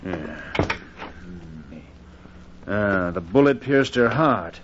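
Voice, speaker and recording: A man playing a calm, methodical police inspector in an old-time radio mystery, questioning in a measured way with small hesitations. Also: lowish voice, noisy background. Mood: calm, probing. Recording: muffled old radio recording.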